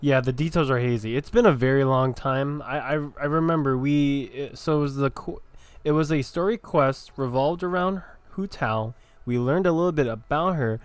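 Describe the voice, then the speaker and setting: A man giving casual, halting commentary as he plays through a video game. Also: mid-range voice, clear, resonant tone, dry recording, faint music behind. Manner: halting, hesitant. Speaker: gameplay streamer.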